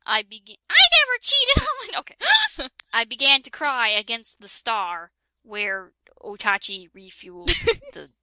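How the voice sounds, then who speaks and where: Woman reading a parody story aloud: bright, slow, giggly, dry close sound. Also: on the high side, very animated, poor audio. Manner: giggly, keeps breaking into laughter and sarcastic asides. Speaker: reader of a parody fan fiction. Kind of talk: comedic dramatic reading with a friend.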